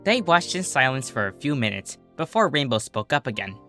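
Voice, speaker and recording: Bright-voiced man narrating a story. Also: mid-range voice, sing-song, a little fast, faint music behind. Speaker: fan fiction reader.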